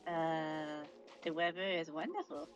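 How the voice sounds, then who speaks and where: A woman's voice: low-pitched, fairly even intonation, a little slow, lo-fi sound, over music. A woman casually commenting as she plays a game, musing aloud and reacting in short exclamations. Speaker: streamer playing a game.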